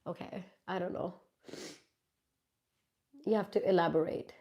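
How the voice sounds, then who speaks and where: A woman's voice: on the low side, steady tone, unhurried, lo-fi sound. A woman from India, stuffy from a cold, chatting casually with viewers on a live stream from her phone. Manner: chatty, reads out viewer comments and answers them. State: congested from a cold. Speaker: YouTuber hosting a live Q&A.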